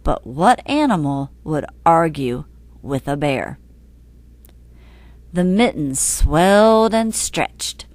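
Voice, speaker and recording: A woman's voice: low voice, lively intonation, takes it slowly, long pauses, a little mellow, no room sound. A woman reads a picture book aloud in a dry, close recording. She takes her time, placing emphasis with care as a storyteller would. Her intonation swings widely through each phrase. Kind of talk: expressive scripted storybook narration.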